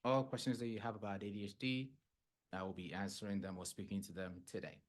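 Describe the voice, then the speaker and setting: Casual Ghanaian psychologist host with a lowish voice who keeps checking in with the listener. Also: male voice, fairly even intonation, fast. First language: Fante. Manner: conversational, checks in with "right?" and "yeah?". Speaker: clinical psychologist podcast host. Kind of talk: casual explanatory podcast talk.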